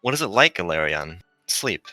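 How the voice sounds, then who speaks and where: A man voicing a curious, gentle, non-corporeal female companion in a visual novel reading. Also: low-pitched, big pitch swings, normal speed, dry recording, exaggerated voice. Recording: music behind the voice. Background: music.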